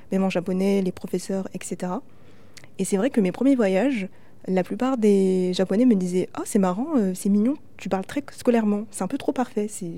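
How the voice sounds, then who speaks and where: Mellow-voiced young woman reflecting aloud. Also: medium pitch. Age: 20s. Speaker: interviewee, linguistic mediator at a university library. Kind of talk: casual, reflective personal account.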